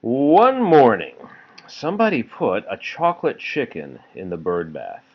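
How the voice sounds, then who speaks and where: A man reading a children's picture book aloud, gently and patiently, with casual asides to a child. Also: mid-range voice, huge pitch swings, measured pace, highs cut off. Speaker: read-aloud storyteller for a child.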